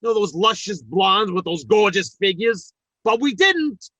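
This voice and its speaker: A man's voice: high voice, expressive, a little slow, pushed and bright, husky, dry recording, muffled recording, heavily acted. An actor playing a good-hearted, blustery working-class father in an old-time radio comedy, folksy and ungrammatical, with sentimental turns.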